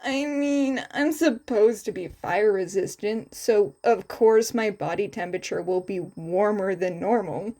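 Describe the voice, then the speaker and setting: A woman voicing a protective young male winged hero in a fan fiction story, calm, firm and defiant. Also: medium pitch, unhurried, hardly any pauses, close to an everyday voice. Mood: matter-of-fact. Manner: calm, firm, quietly threatening.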